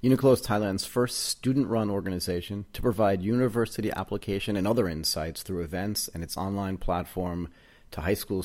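Deep-voiced man hosting a podcast. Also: darkish tone. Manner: chatty, long rambling questions. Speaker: podcast host. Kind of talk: interview podcast.

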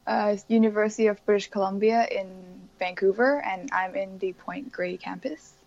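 A woman's voice: medium pitch, steady tone, normal speed, gravelly, a little mellow, lo-fi sound. A young woman from Thailand, a university student chatting casually as an interview guest. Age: young adult.

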